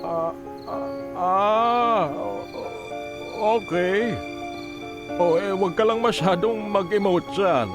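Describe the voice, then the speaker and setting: An actor playing a gentle, bumbling middle-aged father with a Filipino accent, stammering through a comedic radio drama. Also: sits high, slow pace, pauses often. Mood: hesitant concern. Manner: hesitant, stammering. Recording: music behind the voice.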